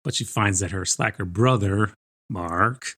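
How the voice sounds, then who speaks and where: A man's voice: fairly low pitch, unhurried, fairly bright tone, breathy edge. A man co-hosting a book podcast, giving a conversational, good-humoured review.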